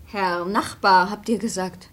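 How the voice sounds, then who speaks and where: An actress in a German radio play playing an anxious, tender mother of a homeless family, with a soft, plaintive manner. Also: medium pitch, steady tone, a little slow, natural voice. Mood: uneasy.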